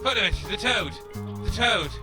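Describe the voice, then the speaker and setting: A man playing an excitable, squeamish, grumbling sidekick in a comedy audio drama, flustered and repeating himself. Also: high voice, moderate pace, loud music behind the voice. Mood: giddy, tripping.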